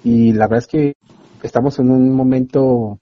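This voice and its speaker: A man's voice: mid-range voice, fairly even intonation, normal speed, mellow, dry recording. A man, a university expert guest earnestly explaining things at length in an interview. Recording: dull lo-fi dry sound.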